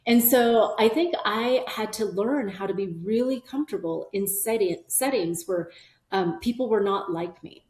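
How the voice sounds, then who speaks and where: A middle-aged woman whose first language is Korean, speaking as a company leader in an interview, earnest and measured, often affirming with "yeah". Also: mid-range voice, a little mellow. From South Korea, raised in Arkansas. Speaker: company CEO, podcast guest. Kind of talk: reflective conversational interview. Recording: roomy echo.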